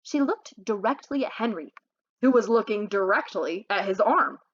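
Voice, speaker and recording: A woman reading a children's story aloud in an expressive, animated way, like a storyteller. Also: mid-range voice, slightly rough, lo-fi sound. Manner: animated, pauses to ask listeners questions. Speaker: read-aloud host, storyteller.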